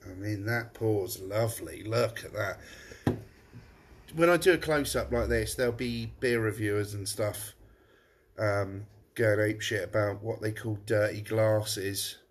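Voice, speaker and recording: A man's voice: fairly low pitch, unhurried, slight background noise. A man, a pub landlord chatting casually on a live stream while tasting beer, his nose blocked up. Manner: chatty, rambling, frequent restarts. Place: room above his pub.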